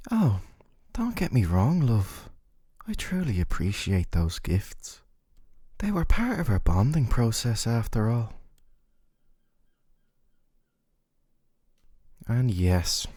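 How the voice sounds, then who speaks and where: Male actor as doting, possessive game boyfriend for ASMR roleplay; soft, dark timbre, very lively intonation. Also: fairly low pitch, slow, slightly rough, no room sound, studio quality, heavily acted. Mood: fond, reassuring. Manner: soft, coaxing, articulate, teasing tag questions. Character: possessive, gentle yandere dating-sim boyfriend, doting and smug. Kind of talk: scripted ASMR roleplay monologue to the listener.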